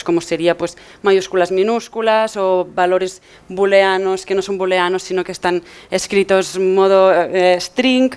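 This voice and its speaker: A young woman from explains technical material as a conference speaker. Her voice is smooth and clean, at a middling pitch. She feels her way through phrases and often tags them with a questioning check for agreement. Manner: hesitant, often seeking agreement with tag questions. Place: medium-sized indoor event room with seated audience.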